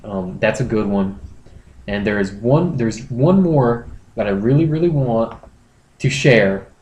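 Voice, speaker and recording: A man's voice: on the low side, measured pace, a little mellow. A man hosting a comedy podcast in casual banter, with a mock-serious, profane manner. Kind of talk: casual banter and readings of internet posts. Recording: roomy echo, clear background noise.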